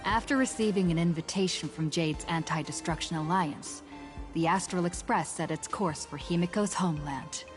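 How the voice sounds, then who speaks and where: A woman playing a storyteller who narrates an epic fantasy game saga in a formal, measured, scripted manner. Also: on the low side, lightly acted. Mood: solemn, measured. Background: game music.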